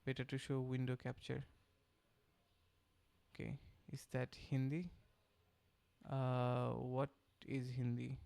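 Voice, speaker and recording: A man's voice: mid-range voice, takes it slowly, vocal fry, long pauses. A young man from Bangladesh with a Bangladeshi accent, a native Bengali speaker, chatting casually and thinking aloud while he codes on a live stream. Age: young adult. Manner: relaxed, thinking aloud, reading chat and answering. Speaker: streamer and developer.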